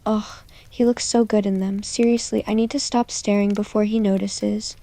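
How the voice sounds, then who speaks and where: A woman's voice: medium pitch, little pitch movement, normal speed, dark tone, dry recording, close to an everyday voice. A woman playing a smitten, self-conscious teenage girl voicing her private thoughts in an ASMR roleplay. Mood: flustered, infatuated. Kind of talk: ASMR roleplay inner monologue.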